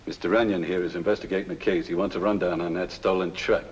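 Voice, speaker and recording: An actor playing an exasperated, long-suffering trucking boss in a 1950s film, grumbling sarcastically and repeating himself. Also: male voice, deep voice, fairly even intonation, quick pace, slightly rough. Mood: weary grumbling. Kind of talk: 1950s film comic character acting. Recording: reverberant, noisy old film soundtrack.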